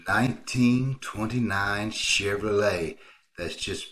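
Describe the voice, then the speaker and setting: Man playing slow, flirtatious radio comedy sweet-talker. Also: lowish voice, a bit bright. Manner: slow, wooing, sweet-talking. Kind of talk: old-time radio comedy acting.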